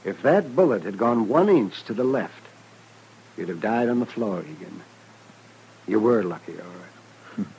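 Dry western doc, frank, very animated intonation, hissy old-time radio recording. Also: male voice, lowish voice, normal speed, long pauses, low-quality recording. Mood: dry, reassuring. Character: dry, frank frontier town doctor.

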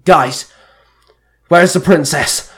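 A man's voice: on the high side, lively intonation, moderate pace, fairly bright tone, pitched above the performer's own voice. A man voicing a blunt, impatient male warrior in a story reading, barking insistently. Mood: urgent, demanding. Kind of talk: amateur fan-fiction story reading.

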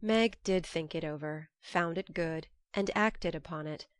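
Audiobook narrator, woman: lowish pitch, scripted literary storytelling, dry close sound. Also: unhurried, smooth, dry recording.

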